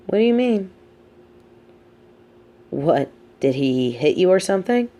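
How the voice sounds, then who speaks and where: A sardonic female supervillain, acted by a woman in an intimate audio roleplay. She speaks slowly in a soft, dark, slightly low voice, weighing words with theatrical poise. Her scheming, wry manner carries a grudging streak of sympathy. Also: very animated. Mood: probing, intrigued. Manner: theatrical, measured, wry, drops into muttered asides.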